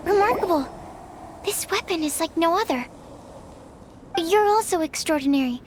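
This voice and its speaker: Very high-voiced timid young alchemist. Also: female voice, animated, moderate pace, slight background noise. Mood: fascinated, earnest. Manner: polite, fussily precise, flustered stammer. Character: earnest, bookish young female alchemist, timid and precise. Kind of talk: animated game voice acting. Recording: music behind the voice.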